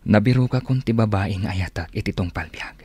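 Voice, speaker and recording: A man playing an earnest, gentle young boyfriend who owns a shop in an Ilocano radio drama. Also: fairly low pitch, fairly quick, breathy edge. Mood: earnest, apologetic.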